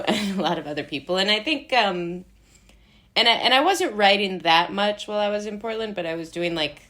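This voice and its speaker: An American woman with a low voice talks casually as an interview guest. She rambles conversationally, often breaking off and restarting her thoughts midway. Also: a bit bright. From Brooklyn, New York, United States. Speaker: interview guest, playwright and actor. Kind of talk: casual chat.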